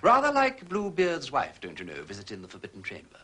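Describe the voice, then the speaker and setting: An actor with an upper-class English accent playing a wry, sceptical gentleman detective in a period mystery drama. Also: medium pitch, huge pitch swings, fairly quick, breathy edge, full character voice.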